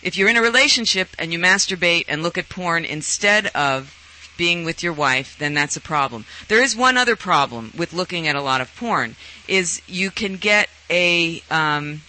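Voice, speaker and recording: Guy hosting a call-in show, pretty high voice, really bright and forceful, chatty advice. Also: expressive, normal speed, low-quality recording. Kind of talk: conversational advice talk.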